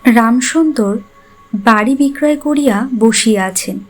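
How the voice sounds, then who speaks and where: A woman narrator with a high-pitched voice reads literary prose in a scripted storytelling style. Music plays softly behind her. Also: unhurried, poor audio. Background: background music.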